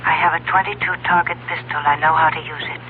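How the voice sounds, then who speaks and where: An actress playing a cold, scheming fiancée who gives crisp orders in a radio drama. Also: female voice, deep voice, steady tone, fast talker, lightly acted. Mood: cold, insistent. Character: cold, commanding, scheming female fiancée plotting murder. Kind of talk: 1970s radio drama acting. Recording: tinny phone line, old radio hum.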